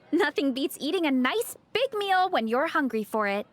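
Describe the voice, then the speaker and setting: A woman's voice: sits high, sing-song, unhurried, a little hiss or hum. A woman voicing a cheerful, earnest young heroine with a huge appetite in an anime-style game. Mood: upbeat, eager. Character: cheerful, ravenous young heroine, earnest comic-book fan. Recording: game music behind.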